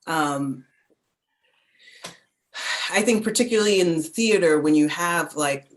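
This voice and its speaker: A woman talking informally and reflectively as a performer on a panel, searching for words in an animated way. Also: low-pitched, slow.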